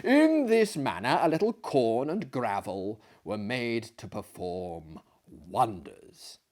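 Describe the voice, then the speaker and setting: Male reader as self-important older asylum host, theatrical, emphatic, unhurried, swooping intonation, storybook tale. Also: medium pitch, sing-song, darkish tone, pitched below the performer's own voice. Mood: pompous, expansive. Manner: emphatic, pompous, lecturing. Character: self-assured older asylum director, genial and pompous.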